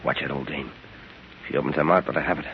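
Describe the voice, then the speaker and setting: A man's voice: very deep voice, racing. An actor playing a bossy, cocksure gangster in a 1930s radio drama, talking in curt, slangy tough-guy lines. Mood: threatening. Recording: muffled, noisy 1930s radio recording.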